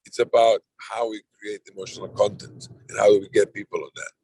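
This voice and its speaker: A man's voice: fairly low pitch, level tone, brisk, darkish tone, dry recording, poor audio. A middle-aged man with a Serbian accent, chairing an online roundtable in a loose, rambling way with frequent restarts. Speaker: conference chair. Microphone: video call.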